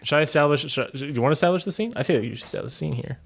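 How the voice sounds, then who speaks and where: A man's voice: on the high side, animated, racing, creaky. Teasing man, faint noise behind. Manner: teasing, mock-serious. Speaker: podcast player prompting the others. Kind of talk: casual table banter.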